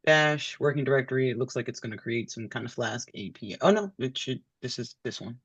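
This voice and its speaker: A man learning as a student, thinking aloud haltingly as he reads through material and checks his understanding. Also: medium pitch, expressive, a bit bright, husky, dry recording, highs cut off. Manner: tentative, halting, asks to confirm understanding. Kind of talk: thinking aloud while reading through code.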